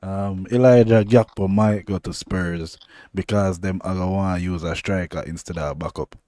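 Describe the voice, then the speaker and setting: A young Jamaican man talks football in a loose, easygoing patois, drifting from one point to the next. His voice sits low and mellow, recorded dry and close with no room around it. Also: steady tone, moderate pace, no room sound. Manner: laid-back, rambling, loose patois phrasing. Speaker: podcast co-host. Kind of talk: casual football chat.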